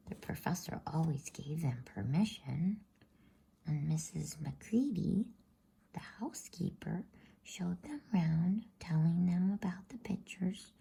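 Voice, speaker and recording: A woman whispering a bedtime story as ASMR in a soothing, hushed, drawn-out voice. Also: fairly low pitch, low-quality recording. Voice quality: breathy whisper.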